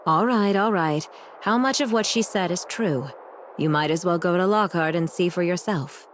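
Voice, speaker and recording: A sardonic mentor doctor played by an actress talks in a slightly low female voice, dry and weary. It is curt anime game dialogue with faint noise underneath. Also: moderate pace, close to an everyday voice. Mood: dry, impatient. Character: world-weary, sardonic woman doctor, commanding elder mentor.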